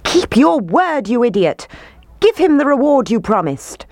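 Woman as angry townsperson, scolding, loud, demanding, high-lifted pitch, storybook telling. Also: big pitch swings, a little slow, no echo. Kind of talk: children's storybook character voice.

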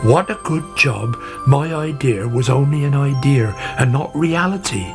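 A man's voice: medium pitch, measured pace, music in the background, natural voice. A man voicing an opinionated, grumbling old grandfather gardener in a storybook tale, muttering and exclaiming. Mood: startled, humbled. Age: older. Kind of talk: storybook character voice.

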